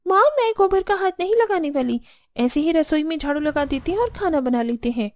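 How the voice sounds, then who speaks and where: A woman's voice: high-pitched, lively intonation, brisk. A woman playing a spoiled, complaining young married daughter in a cartoon. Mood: whiny refusing. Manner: complaining, indignant. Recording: background music.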